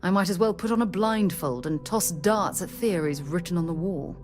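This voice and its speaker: Game scientist: actress as formal, curious researcher, low-ish voice, measured delivery, faint music. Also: female voice, natural voice. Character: formal, curious female scientist, precise and fascinated. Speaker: scientist companion. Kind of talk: video game voice acting.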